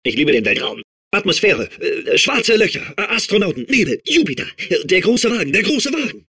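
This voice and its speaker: A man playing a manic, childlike robot sphere obsessed with outer space in a video game, babbling and repeating himself in a strained, husky voice. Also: high-pitched, fairly quick, full character voice. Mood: manic excitement. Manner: excitable, babbling, repeating words compulsively. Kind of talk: video game character voice clips.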